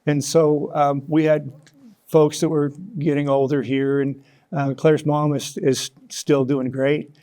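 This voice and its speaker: An older American man answering as an interview guest, reflective and halting as he tells stories in a conversational way. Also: fairly high pitch, little pitch movement, dark tone. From United States, Kansas. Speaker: interview guest, author and former sports journalist.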